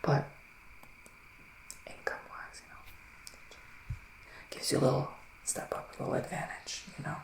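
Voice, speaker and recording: Man playing a hushed, creaky male woodland witch. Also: mid-range voice, completely flat delivery, roomy sound, in a whisper, low-quality recording. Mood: hushed, musing. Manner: slow, hushed, musing, with drawn-out pauses. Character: gentle, musing male psychic witch living in woods. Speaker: ASMR performer giving a psychic reading. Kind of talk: ASMR roleplay, soft whispering.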